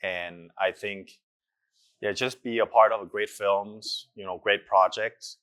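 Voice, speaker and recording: A man's voice: on the low side, fairly even intonation, slow, fairly bright tone. A young man, an actor, giving earnest and reflective interview answers with hesitant fillers.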